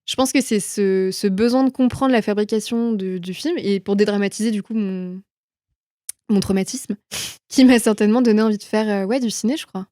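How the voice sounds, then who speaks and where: A woman's voice: mid-range voice, normal speed, warm tone, no echo, studio quality. A young woman from France being interviewed, telling personal stories in an informal, reflective way, often correcting herself as she goes. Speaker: interview guest, film production manager. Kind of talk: casual conversational interview, personal anecdotes.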